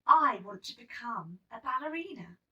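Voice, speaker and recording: An earnest young girl from a storybook, voiced by a woman reader, full of hope and wonder. The voice is high and bright with big swoops of emphasis, heard from slightly off the mic. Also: sing-song, moderate pace, muffled recording, full character voice. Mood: eager, determined. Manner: earnest, wide-eyed, emphatic. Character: eager, hopeful orphan girl who dreams of ballet. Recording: slightly distant microphone.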